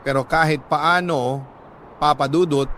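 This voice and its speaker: A man with a Filipino accent narrating a first-person dramatic story as a radio storyteller, emotive and sorrowful, with deliberate pauses. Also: on the high side, steady tone, fairly bright tone, a little hiss or hum. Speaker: radio story narrator reading a listener's letter.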